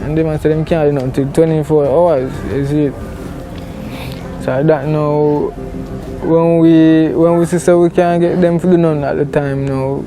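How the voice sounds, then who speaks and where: A man's voice: on the high side, steady tone, moderate pace, music in the background. A man with a Jamaican accent recounting events casually in Patois, often repeating himself as an interviewee. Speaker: interviewee, relative of a missing person.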